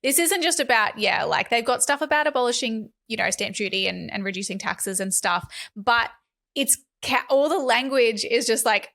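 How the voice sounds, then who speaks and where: A young Australian woman co-hosting a podcast, chatting quickly and sarcastically and laughing often, into a desk mic at home. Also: on the high side, animated, creaky, bright, forceful tone. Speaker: podcast co-host. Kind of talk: casual political chat. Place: small room at home. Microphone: large studio mic on desk.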